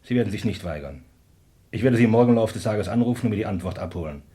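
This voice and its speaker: Actor as a fast-talking, dark-voiced cynical briber. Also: male voice, lowish voice, expressive, lightly acted. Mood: smooth menace. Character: smooth, menacing, cynical briber. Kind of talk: German radio play dialogue.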